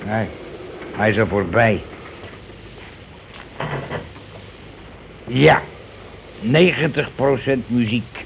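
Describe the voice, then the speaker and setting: A coarse, scheming husband in an old radio drama. He sits at a middling male pitch and explains things insistently, in impatient everyday slang. A light layer of noise lies over the recording. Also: expressive, slow, creaky, low-quality recording. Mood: scornful, animated. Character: bossy scheming working-class husband, coarse and cocksure. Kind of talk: radio play acting.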